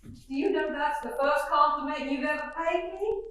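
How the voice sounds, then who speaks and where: A woman's voice: high voice, normal speed, a bit bright, slight background noise. An actress playing a fussy, middle-aged faded belle mother, who chatters on in a gushing, rambling way. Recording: echoey roomy sound from far off.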